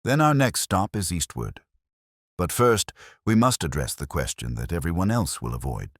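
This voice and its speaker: A man voicing a brilliant, composed detective in an audiobook story, speaking in a measured, cryptic and deliberate way. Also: low voice, sing-song, no echo, clean studio sound. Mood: measured, certain.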